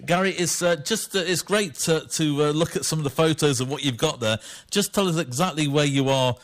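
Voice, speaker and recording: A man's voice: a little high, fairly quick. A man hosting a radio talk show, interviewing a guest in a friendly, casual way with some hesitant fillers. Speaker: radio host.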